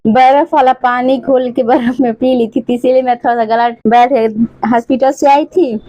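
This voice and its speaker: Teacher, female, hoarse, high pitch, brisk answer-by-answer exam review. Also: fairly even intonation, mellow, rough recording. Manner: addresses students fondly, reads questions then answers.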